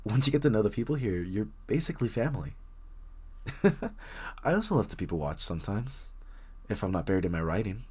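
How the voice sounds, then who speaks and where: Man acting as a shy, husky, low-voiced young writer. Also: expressive, brisk, long pauses, a little mellow. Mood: warming up, friendly. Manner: hesitant, stammering, nervous little laughs. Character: very shy, friendly young writer in a coffee shop. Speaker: audio roleplay voice actor. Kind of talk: intimate one-sided roleplay acting, casual chat.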